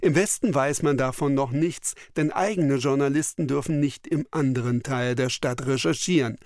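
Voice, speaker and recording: A man's voice: mid-range voice, moderate pace, darkish tone, no room sound, studio quality. A man narrating a scripted radio documentary in pronunciation. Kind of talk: scripted present-tense narration.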